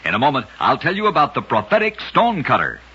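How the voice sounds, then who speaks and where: A man narrating strange true facts in a scripted old-time radio style, with a brisk, dramatic storytelling cadence. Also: mid-range voice, huge pitch swings, bright, forceful tone, slightly rough, lo-fi sound.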